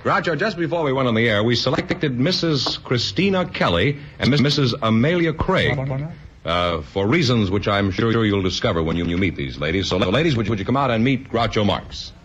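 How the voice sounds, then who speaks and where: A man's voice: medium pitch, animated, brisk, a bit bright, highs cut off, faint music behind. A man announcing a quiz show in broadcast style on an old recording with a studio audience.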